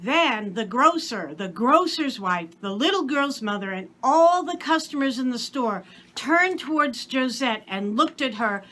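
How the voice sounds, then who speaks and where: A woman reading a story aloud in expressive, scripted storybook narration. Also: high-pitched, measured pace, slight background noise. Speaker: story reader.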